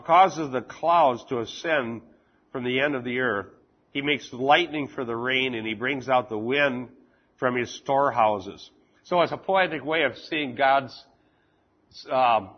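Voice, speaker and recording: A man teaching an informal Bible class, chatting with the group and reading scripture slowly and deliberately. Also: mid-range voice, lo-fi sound. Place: classroom with fans running.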